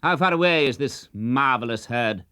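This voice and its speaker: A man's voice: medium pitch, animated, moderate pace, bright, forceful tone, clear, resonant tone, no echo, studio quality, pitched above the performer's own voice. A man voicing a haughty, gullible sheriff in a children's story, imperious and full of exclamations. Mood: astonished, curious. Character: haughty, gullible male sheriff, easily outraged.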